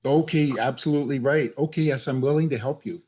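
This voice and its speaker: A man acting as the helper in an unscripted peer-support call, coaching in a gentle, hesitant way. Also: mid-range voice, quick pace.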